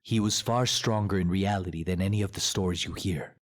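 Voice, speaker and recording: Man playing an earnest low-voiced young soldier. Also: a little fast, lightly acted. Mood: reflective. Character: earnest young male soldier recounting his past. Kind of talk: video game voice acting.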